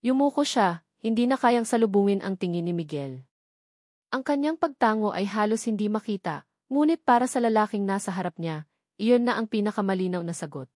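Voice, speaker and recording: A woman tells a scripted love story as a dramatic narrator. Her phrasing is deliberate and broken into short, weighted lines. The sound is dry, with no room around it. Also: mid-range voice, animated, darkish tone, no room sound.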